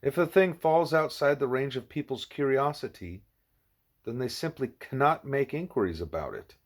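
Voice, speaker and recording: A man voicing a grave, patient, wise animal teacher in an audiobook, speaking in a measured, formal, didactic way. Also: mid-range voice, lively intonation, voice pushed up. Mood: patient, explaining.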